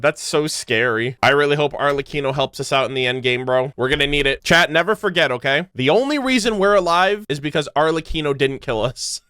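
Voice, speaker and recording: An excitable man streaming a casual game reaction. His voice sits fairly high and sounds bright and forceful, and he chats to viewers in loose slang, close and dry on the mic. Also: clean tone, no echo. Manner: excitable, slangy, talks to chat. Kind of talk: casual live reaction commentary.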